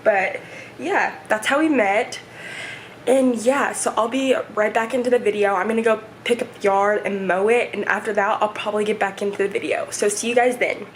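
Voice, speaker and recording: A woman in her twenties, chatting casually and rambling through a home vlog, her voice hoarse and stuffy. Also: medium pitch, fairly even intonation, normal speed, slight background noise, poor audio. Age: 20s. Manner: rambling, chatty, many fillers. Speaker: vlogger. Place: home, indoors. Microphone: camera set up nearby.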